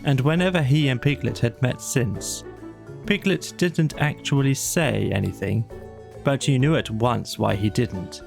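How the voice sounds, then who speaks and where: Slow, creaky-voiced male storyteller. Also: medium pitch, music in the background. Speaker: narrator. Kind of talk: bedtime storybook narration.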